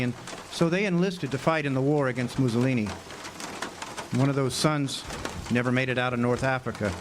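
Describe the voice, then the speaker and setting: A man plays a wisecracking, wary farmhand in a hard-boiled radio play. His voice sits a bit high for a man, and he talks in wry, folksy slang. The recording has clear noise from an outdoor stage. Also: natural voice. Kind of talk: live radio drama, hard-boiled first-person narration.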